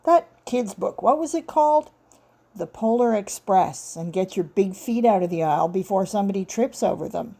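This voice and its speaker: Woman acting a lanky male detective, casual, slangy, grumbling, mellow tone, short-story reading. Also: mid-range voice, animated, moderate pace. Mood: casual, puzzled.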